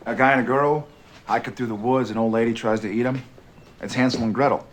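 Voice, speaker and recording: An actor playing an earnest, insistent younger brother in a supernatural TV drama. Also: male voice, mid-range voice, fairly even intonation, fast, lightly acted. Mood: earnest, explaining. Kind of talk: TV drama acting.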